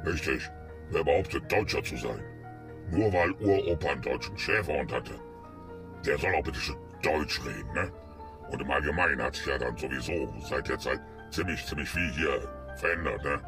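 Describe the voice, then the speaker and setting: A man's voice: extremely low pitch, moderate pace. A man complaining and agreeing in a comedy sketch. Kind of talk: ranting comedy dialogue. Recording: faint music behind voice. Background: music.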